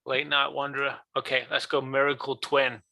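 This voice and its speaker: Gravelly excited man opening card packs. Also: medium pitch, no echo. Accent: non-standard English accent. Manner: excitable, quick reactions, trailing off quietly. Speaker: live stream co-host opening card packs. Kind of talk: casual live stream chat.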